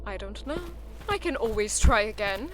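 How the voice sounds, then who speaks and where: A woman's voice: mid-range voice, lively intonation, moderate pace, a little hiss or hum, close to an everyday voice, rough recording. An actress playing a bold, combative woman in an audio drama, with a biting, challenging manner. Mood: taunting.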